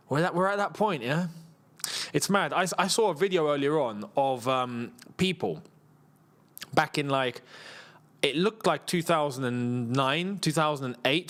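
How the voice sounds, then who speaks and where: A man's voice: fairly high pitch, a bit bright. Tired, chatty man in his thirties. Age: 30s. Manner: chatty and rambling, laughs often, reads viewer messages aloud. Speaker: football channel host. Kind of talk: casual live watchalong chat.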